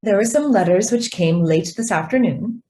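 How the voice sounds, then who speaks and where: An actress playing a polite young office stenographer, deferential yet evasive and quietly persistent, in a radio drama. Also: female voice, medium pitch, animated, normal speed, natural voice. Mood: polite, insistent. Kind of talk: stage-style radio drama acting.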